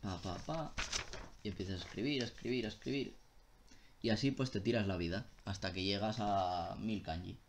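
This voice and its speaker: A man's voice: lowish voice, normal speed, a little hiss or hum. A young man chatting casually on a live stream, answering viewer questions in a loose style with swearing and self-interruptions.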